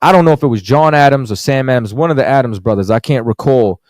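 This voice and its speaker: A man's voice: medium pitch, fast, a little mellow, no room sound, clean studio sound. A man talking casually on a podcast as a guest author, rambling with lots of "you know what I'm saying".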